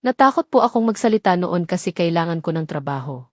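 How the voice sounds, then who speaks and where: A woman's voice: on the low side, lively intonation, fast talker, darkish tone, no echo, studio quality. A woman voicing a timid, respectful female ex-employee in an audio drama, deferential and hesitant. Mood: confessing.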